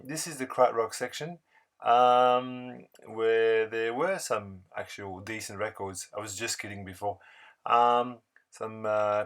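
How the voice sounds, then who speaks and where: A man narrating a casual vlog voice-over in a halting, unscripted, rambling way. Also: lowish voice, fairly bright tone. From France. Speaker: record-collecting video blogger.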